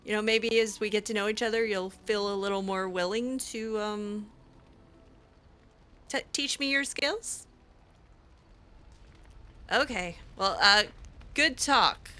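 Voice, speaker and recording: A woman's voice: mid-range voice, takes it slowly, pauses often, bright, forceful tone. A woman roleplaying a curious young female mage, talking in a hesitant, chatty way full of ums and restarts. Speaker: roleplaying player character. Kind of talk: casual in-character roleplay.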